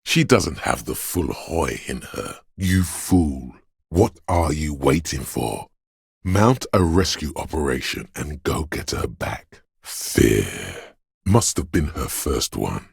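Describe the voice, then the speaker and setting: A very deep, gravelly, menacing inner voice. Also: male voice, animated, no room sound, studio quality, heavily acted. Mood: menacing, intense, then urgent, gruff. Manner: brooding, deliberate, ominous. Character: menacing primal inner voice of danger and aggression, male narrator. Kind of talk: dramatic second-person game narration.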